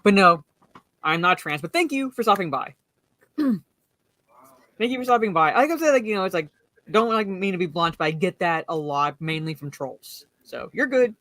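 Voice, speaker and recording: Woman host, kinda deep voice, rambly, lots of likes, dead dry sound. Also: animated, normal speed, a bit bright, no room sound. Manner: rambling, many restarts and "like" fillers. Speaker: podcast host. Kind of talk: casual chat.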